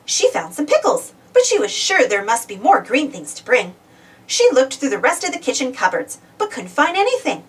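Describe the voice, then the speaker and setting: High, very animated woman reading a storybook. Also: normal speed, recorded from a distance. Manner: expressive, simple delivery for young children. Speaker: storybook reader. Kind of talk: scripted read-aloud children's storybook narration.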